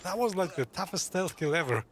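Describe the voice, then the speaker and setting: A male gamer with a slightly high voice that jumps around in pitch. He gives casual, reactive playthrough commentary, with clear game noise behind him. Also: lively intonation, brisk. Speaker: gaming streamer.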